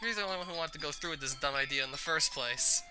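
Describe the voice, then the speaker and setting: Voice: medium pitch, quick pace, music in the background, close to an everyday voice. A performer voicing a brash, feisty, sarcastic teenage girl with a blunt manner in a visual novel. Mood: indignant. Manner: blunt, indignant.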